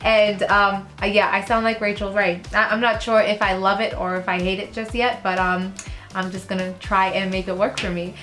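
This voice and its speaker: A young woman beauty vlogger, sick with a rough, lowered voice, chatting casually through a makeup tutorial with fillers and asides, filmed close up in her bedroom. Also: a bit bright. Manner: chatty, rambling, fillers, trails off while working. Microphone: camera close up in front of face.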